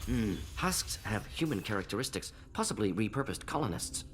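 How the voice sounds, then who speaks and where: An actor playing an analytical scientist squadmate in a video game, speaking in a clipped, pensive manner. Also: male voice, lowish voice, sing-song, moderate pace. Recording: loud background noise.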